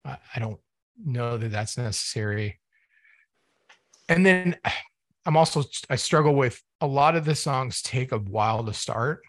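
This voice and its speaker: A man co-hosting a music podcast, giving casual album critique in a measured, thinking-aloud way. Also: medium pitch, expressive, no echo, studio quality.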